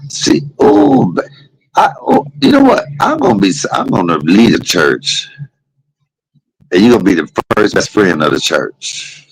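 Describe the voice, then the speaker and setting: A man's voice: lowish voice, huge pitch swings, unhurried, vocal fry, husky, poor audio. A man chatting casually from a hospital room, joking and teasing with bursts of laughter. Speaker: podcast host. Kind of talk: casual chat.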